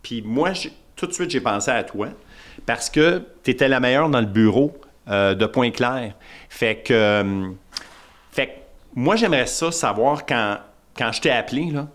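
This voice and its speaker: Québécois guy hosting a podcast, mid-pitch, laid back, kinda rambly questions. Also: measured pace. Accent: Québécois French. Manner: relaxed, rambling questions with restarts. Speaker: podcast host. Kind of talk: casual podcast interview.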